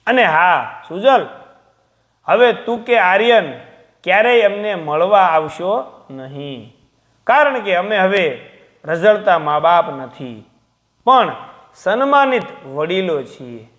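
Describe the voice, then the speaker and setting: A man voicing a self-respecting elderly father in a story, with a measured, dignified manner. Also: high-pitched, fairly bright tone, big-room sound, heavily acted. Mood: proud, firm.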